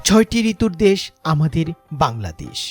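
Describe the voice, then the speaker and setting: A Bangladeshi man reading scripted, sentimental documentary narration in a measured, lyrical way. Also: a little high, big pitch swings. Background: background music.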